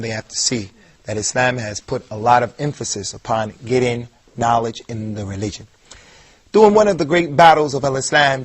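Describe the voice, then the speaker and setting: A man delivers a televised lecture in a husky, slightly breathy voice set at an everyday middle pitch. He speaks emphatically and circles back to repeat the points he wants to stress. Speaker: Islamic lecturer.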